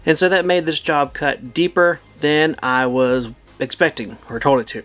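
A man in his thirties casually explaining a machine setup in his home woodshop, easygoing and plainspoken, thinking out loud. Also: a little high, normal speed. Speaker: woodworking channel host. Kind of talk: casual how-to narration.

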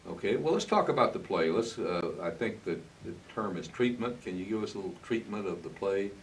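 A low-voiced older man hosts a television interview, informal and feeling for his words as he asks. He is slightly off the microphone, with a faint hiss behind him. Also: animated, brisk, recorded from a distance, poor audio. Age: older, 60s-70s. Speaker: interviewer, host. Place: indoor TV studio, green screen.

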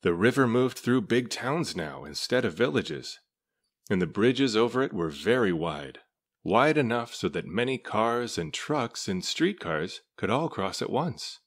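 A man with a low voice narrates a children's storybook. He reads aloud in measured, deliberate phrases. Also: big pitch swings. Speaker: storybook reader.

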